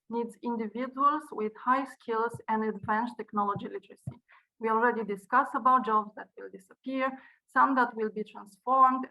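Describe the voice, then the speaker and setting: A woman from Romania with a Romanian accent, a non-native English speaker, delivering a prepared speech in an earnest, measured way with occasional restarts, over a video call. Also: fairly high pitch, bright, forceful tone, poor audio. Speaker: university director giving a speech.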